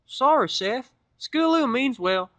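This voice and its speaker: Man doing a high, feisty little filly. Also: animated, unhurried, a bit bright, dry recording, dull audio, exaggerated voice. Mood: reassuring. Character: young country filly pony, feisty, friendly and loud.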